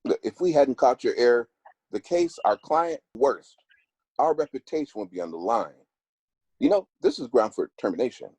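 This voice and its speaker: A man's voice: mid-range voice, expressive, moderate pace, a little mellow, slightly rough, dry recording. An actor at a table read playing a serious professional man who speaks firmly. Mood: stern, measured. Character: stern male law firm boss, measured and firm; also plays a frustrated long-distance boyfriend.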